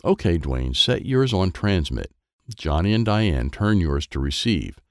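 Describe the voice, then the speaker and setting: A man's voice: deep voice, very animated, measured pace, dry recording, clean studio sound, exaggerated voice. A man voicing a proud, impatient inventor uncle in a children's storybook, showy and instructing. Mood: commanding, excited. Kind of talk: children's storybook character dialogue.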